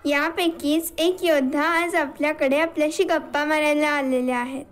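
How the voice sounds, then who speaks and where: A woman's voice: sits high, fairly even intonation, normal speed, faint music behind. A woman co-hosting a podcast, introducing the show and putting questions to a guest. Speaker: podcast co-host.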